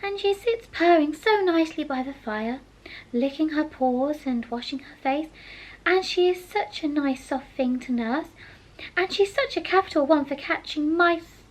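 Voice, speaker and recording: A woman reads a well-mannered, curious young girl who chatters on eagerly. Her voice sits high and bright. She speaks with a tidy, proper politeness. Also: expressive, a little slow, poor audio. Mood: chatty.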